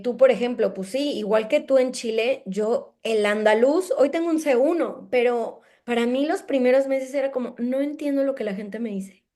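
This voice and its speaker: A woman's voice: a little high, a little mellow. A woman interviewer, responding with empathy in a casual conversation and thinking aloud with fillers.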